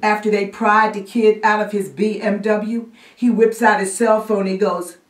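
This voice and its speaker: A woman's voice: medium pitch, flat delivery, normal speed, voice pushed up, natural voice. A woman playing an indignant newspaper reporter pleading emphatically with an editor in a theatrical monologue. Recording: roomy echo, distant microphone.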